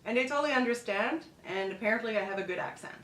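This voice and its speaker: A woman's voice: a little high, fast talker, a bit bright, distant mic. Chatty woman in an echoey room. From Montreal, Quebec, Canada. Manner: chatty, loose, with restarts and asides. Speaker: food and travel blogger. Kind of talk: casual vlog monologue. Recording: echoey room, mic set back.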